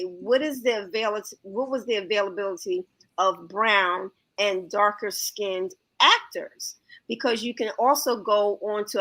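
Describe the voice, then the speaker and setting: A woman's voice: medium pitch, sharp tone, rough recording. A woman, a guest reviewer from Harlem, New York, talking in a casual panel chat and reasoning aloud with rhetorical questions.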